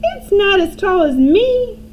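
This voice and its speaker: A cranky old grandmother yells in a shrill, high voice, as a woman puts her on. She drags her words out slowly and swings her pitch widely as she shouts. A faint hiss sits underneath. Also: very animated, full character voice. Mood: boastful.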